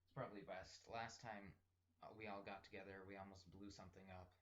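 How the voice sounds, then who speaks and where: A teenage male recording a casual, rambling spoken diary, thinking aloud in halting short phrases. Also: low voice, fairly even intonation, a bit bright, muffled recording. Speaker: personal audio log host.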